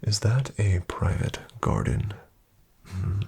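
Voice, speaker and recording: A man playing a suave, flattering financial advisor in a soft-spoken ASMR roleplay, hushed, courteous and unhurried, often near a whisper. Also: low voice, gravelly, husky, heavily acted. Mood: impressed, flattering. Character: suave, flattering male financial advisor to wealthy elite clients.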